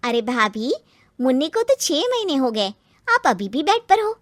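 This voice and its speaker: A woman plays a cartoon sister-in-law, brisk and pointed. She needles bluntly in a high, animated voice. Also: dry recording, studio quality. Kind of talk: cartoon story character acting.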